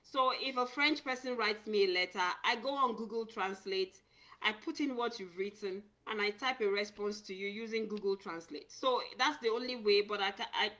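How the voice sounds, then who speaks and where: Bright-voiced woman giving earnest advice. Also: medium pitch. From Nigeria. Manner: earnest, repeats and restarts words, reads viewer comments aloud. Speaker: immigration consultant. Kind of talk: live-stream talk answering viewers.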